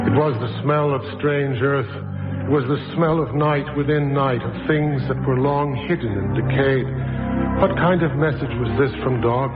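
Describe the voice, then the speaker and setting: A man narrating a horror tale in an old radio drama, with a slow, measured, ominous delivery. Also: mid-range voice. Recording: tinny old radio recording with loud music.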